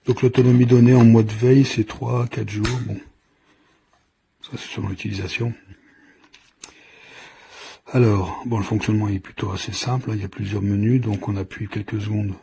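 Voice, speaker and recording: A man's voice: on the low side, steady tone, moderate pace, gravelly, pauses often, dark tone, husky. A man giving an informal hands-on product demonstration, explaining in a hesitant, improvised way as he goes. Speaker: product reviewer.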